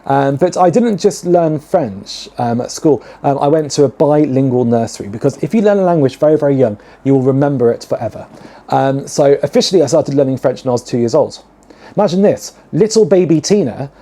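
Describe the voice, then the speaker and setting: A young British drag queen talks straight to camera, camp and theatrical, with a very dark and mellow tone. He fills his talk with ums and jumps now and then into high, showy exclamations. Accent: British English. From United Kingdom. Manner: many "um" fillers, chatty and self-mocking. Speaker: drag queen podcast host. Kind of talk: chatty solo talk to camera.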